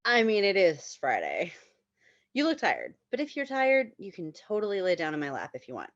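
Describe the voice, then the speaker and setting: A woman's voice: medium pitch, wildly swooping pitch, normal speed, no echo. A woman acts out a callous, smug guy in a comedy screenplay. The cocky taunts swoop up and down dramatically. The recording is dry and a little dull. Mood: cocky, leering. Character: smug, crude, callous male casual lover. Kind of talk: screenplay table read, comedic acting.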